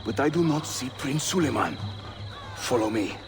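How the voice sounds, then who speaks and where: An actor playing a brisk, good-humoured Ottoman assassin leader in a video game, plain-spoken. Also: mid-range voice, animated, music in the background, natural voice.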